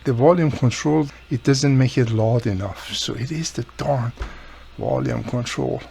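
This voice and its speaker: A man's voice: medium pitch, measured pace, gravelly, mellow, a little hiss or hum. A man giving a casual, hands-on repair walkthrough, explaining informally step by step as he works. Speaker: radio repair hobbyist presenting his own how-to video.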